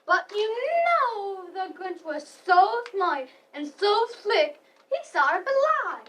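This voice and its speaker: A woman's voice: extremely high pitch, lively intonation, takes it slowly, fairly bright tone. A girl of about eleven or twelve reading a story aloud in readers theater. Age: child, about 11 to 12. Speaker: student narrator. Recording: distant mic.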